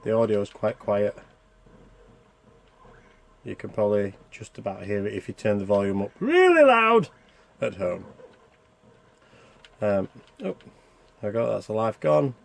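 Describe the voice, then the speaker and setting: A man's voice: lowish voice, very animated, unhurried, gravelly, pauses often. A man giving casual, chatty commentary over gameplay, thinking aloud and asking himself questions as a reviewer. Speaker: video game reviewer.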